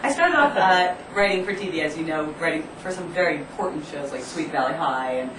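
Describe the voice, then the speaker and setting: A young adult woman from Philadelphia, a comedian and comedy booker, chatting as a guest in quick, bubbly banter and laughing often. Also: sits low, expressive. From Philadelphia, Pennsylvania. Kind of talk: casual comedic chat show banter. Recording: echoey room, far from mic, noisy.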